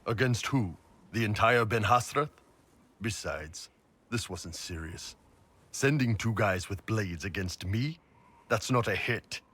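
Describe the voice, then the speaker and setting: An actor playing a huge, gruff, loyal mercenary warrior in a video game, blunt and laconic with a husky voice. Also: male voice, fairly low pitch, takes it slowly, fairly bright tone, full character voice. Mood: dry defiance.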